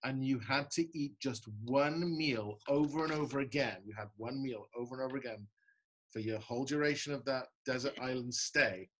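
A man's voice: medium pitch, moderate pace, a bit bright, rough recording. A middle-aged man from the United Kingdom co-hosting a casual video-call chat from home, dry in tone and reading out questions. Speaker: podcast co-host. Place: home room, indoors. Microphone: video call, shared webcam.

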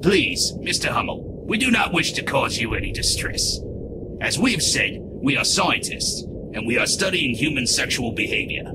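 A man's voice: medium pitch, big pitch swings, moderate pace, lightly acted. A man voicing a stern, clinical alien scientist in a comic dub, with formal, stilted phrasing. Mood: polite, clinical. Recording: very heavy background noise.

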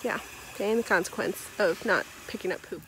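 A woman's voice: medium pitch, moderate pace. A young woman chatting casually as she vlogs on a farm, recorded outdoors on a handheld phone. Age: young adult. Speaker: homestead vlogger. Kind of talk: casual vlog chat. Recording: noisy outdoor phone audio.

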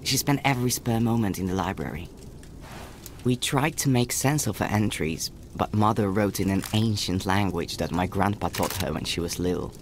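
A man's voice: low voice, normal speed, slight background noise. A man playing an earnest, caring young country boy and protective older brother, narrating reflectively in a video game. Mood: wistful.

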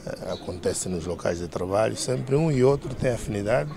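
A man's voice: fairly low pitch, expressive, normal speed, gravelly, a crowd in the background. A middle-aged man with a Mozambican accent, giving a press interview outdoors as a party member. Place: outdoors in a paved courtyard.

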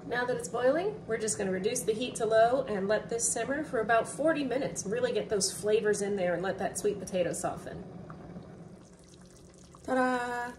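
A young woman talks through her cooking in a loose, chatty way, her voice sitting a little high. She sounds a bit away from the mic in a home kitchen, with clear background noise. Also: normal speed. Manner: relaxed, chatty, with fillers. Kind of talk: casual cooking narration. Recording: distant mic, noticeable room noise.

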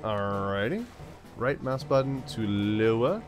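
A man's voice: fairly low pitch, expressive, takes it slowly, music in the background. Stuffy-nosed man playing a game. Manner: playful, talks to himself, yells bursts like "send it". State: stuffed up from a cold. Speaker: gaming channel host. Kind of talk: casual let's-play commentary.

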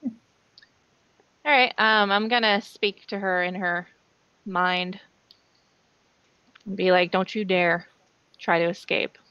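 A woman's voice: medium pitch, steady tone, slow pace, pauses often, bright, forceful tone, clear, resonant tone, dry recording. Young woman player, casual. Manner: casual, with fillers and laughs. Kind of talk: playing a tabletop game. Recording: dry, dull video call audio.

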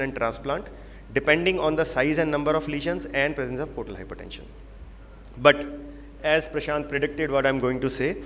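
A man's voice: fairly high pitch, a bit bright. A man with an Indian English accent presenting and moderating a medical panel, explaining with emphatic repetition. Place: large conference hall. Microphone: handheld microphone. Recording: reverberant hall, faint background noise.

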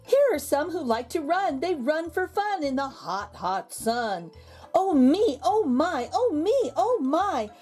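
Lady doing a kids book read aloud, super sing-songy and slow, music playing behind her. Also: sits high. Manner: expressive, exaggerated intonation with drawn-out words. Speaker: children's book reader. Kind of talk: animated picture-book read-aloud for young children. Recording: background music under the voice.

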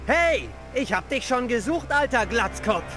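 A man's voice: very high voice, animated, unhurried, full character voice. A man playing a carefree young hedgehog hero in a video game dub, breezy and teasing. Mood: taunting. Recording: loud music under the voice.

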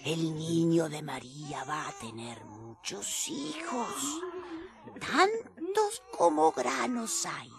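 A woman's voice: low voice, expressive, gravelly, crowd noise, full character voice. An actress playing a wrinkled old village fortune-teller in a radio drama, theatrical, drawing out her exclamations and repeating herself. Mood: solemn, teasing. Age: older. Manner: theatrical, drawn-out exclamations and repetitions.

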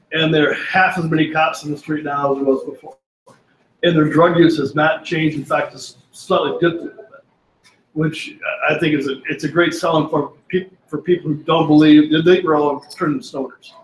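A man's voice: on the high side, slight background noise. A man from the audience making an eager, emphatic point at a panel discussion. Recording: echoey room.

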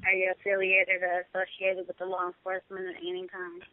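A woman's voice: on the low side, completely flat delivery, a little fast, no room sound. A woman speaking in a businesslike way on a phone call. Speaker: recipient of a prank call. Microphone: telephone call. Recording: narrow, tinny phone line.

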